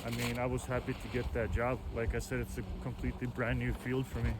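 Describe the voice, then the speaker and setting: A man in his forties from Russia with a Russian accent, chatting in a relaxed, meandering way while riding outdoors on snowy roads. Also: mid-range voice, fast. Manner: relaxed, meandering, self-correcting talk. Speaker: vlogger. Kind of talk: casual rambling chat while riding. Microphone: action camera mic. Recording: loud wind noise outdoors. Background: wind and road noise.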